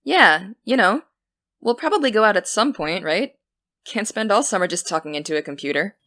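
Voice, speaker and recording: A woman's voice: mid-range voice, animated, fairly quick, bright, forceful tone, dry recording. Actress doing a young college-bound girl, wry n distracted, casual audio drama chat, dry close sound. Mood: busy, chatty. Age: young adult. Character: driven, distracted college-bound young woman, dryly joking. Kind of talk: naturalistic audio drama dialogue.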